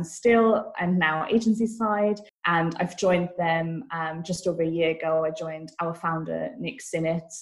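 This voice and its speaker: A woman from Austria with a somewhat low voice, talking as a guest on a marketing podcast. She chats in an upbeat, easygoing way and thinks aloud as she answers. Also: rough recording. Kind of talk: casual interview chat.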